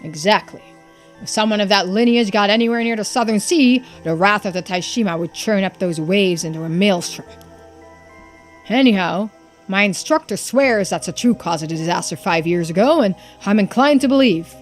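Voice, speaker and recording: A woman voicing a brash, suspicious, defensive islander in a video game, blunt and challenging with rough colloquial speech. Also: medium pitch, moderate pace, soft background music, lightly acted. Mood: accusing.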